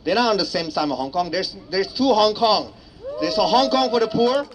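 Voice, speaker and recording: A man's voice: high voice, lively intonation, brisk. A man with an Indonesian accent giving an earnest, emphatic political speech as an activist, repeating phrases for effect. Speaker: activist speaker at a rally. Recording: clearly noisy ambient public recording.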